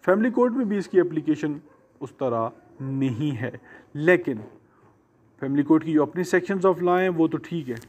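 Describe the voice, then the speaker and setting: A man with a Pakistani accent lecturing students as a law teacher, explaining deliberately and repeating and rephrasing his points. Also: a little high, animated, a little mellow. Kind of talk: exam-preparation lecture to students, mixing Urdu and English.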